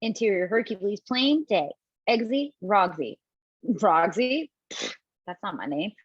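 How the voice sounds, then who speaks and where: A woman's voice: medium pitch, animated, measured pace, husky, no room sound. A woman reading the stage directions of a script in an online table read, in a plain, matter-of-fact way. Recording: dry, dull home mic.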